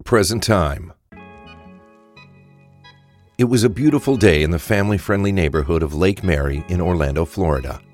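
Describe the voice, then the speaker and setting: A man's voice: low voice, normal speed, pauses often, darkish tone, soft background music. A man narrating a scripted audio drama as storyteller.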